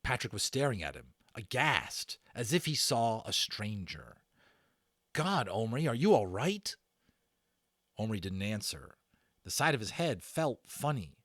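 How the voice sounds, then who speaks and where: Animated male storyteller, dry sound. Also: on the low side, no echo. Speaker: storyteller, audiobook narrator. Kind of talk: dramatic children's book narration.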